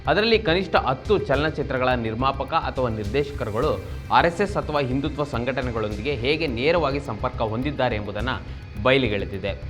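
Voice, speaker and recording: A man's voice: mid-range voice, expressive, normal speed, a bit bright, soft background music. A man from Karnataka presenting a scripted political news explainer, formal and expository, addressing viewers directly. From Karnataka, India.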